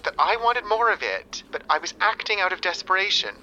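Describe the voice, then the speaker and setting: An actor playing a flirtatious, needy ex-lover in a radio comedy, pleading in a coy, theatrical way. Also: male voice, high-pitched, big pitch swings, fairly quick, bright, forceful tone, lo-fi sound, slight background noise, heavily acted. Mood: wounded, pleading.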